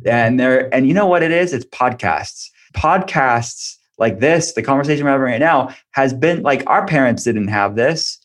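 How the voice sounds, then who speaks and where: Male podcast guest, medium pitch, informal expert explainer in relaxed conversational phrasing. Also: normal speed, few pauses. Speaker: functional medicine doctor, podcast guest.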